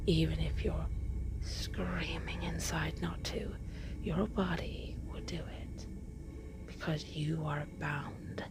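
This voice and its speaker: A woman playing a seductive, menacing female demon in a dark roleplay, speaking in a breathy, creaky whisper with a taunting, possessive manner. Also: low-pitched, full character voice. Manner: slow, drawn-out, taunting, possessive. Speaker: roleplay audio performer.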